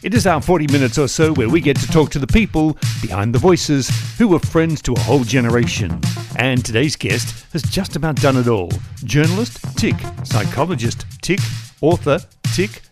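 A man hosting a radio-nostalgia interview show, introducing his guest and asking questions. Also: mid-range voice. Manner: upbeat, scripted intro. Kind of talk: podcast interview.

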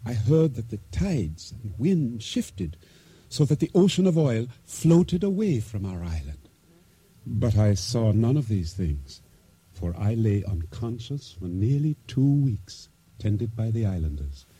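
An actor playing a solemn island boatman, narrating his ordeal at sea in measured, formal, deliberate words for a radio drama. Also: lowish voice, very animated, warm tone, breathy edge, no room sound, exaggerated voice. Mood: subdued, somber. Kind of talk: dramatic first-person narration for radio theater. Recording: radio drama with music underscore.

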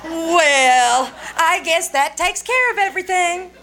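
Casting: woman playing a very high-pitched bossy young wife, sarcastic and insistent, vintage radio comedy. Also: expressive, takes it slowly, sharp tone, exaggerated voice. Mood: mock sweetness. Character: bossy, sharp-tongued bickering young wife. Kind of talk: old-time radio comedy acting.

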